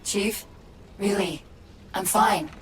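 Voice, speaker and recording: A woman's voice: mid-range voice, slow, a little hiss or hum, close to an everyday voice, low-quality recording. A woman playing a clever, troubled female AI companion in a video game, earnest and technical with a wry edge. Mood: troubled, pleading. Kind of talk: video game cutscene acting.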